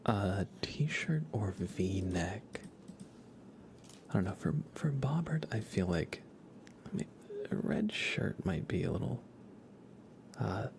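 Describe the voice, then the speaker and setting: A man livestreaming a game in ASMR style, softly whispering in a relaxed, casual way as he thinks aloud and chats with viewers. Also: sits low, slight background noise. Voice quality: soft, breathy whisper.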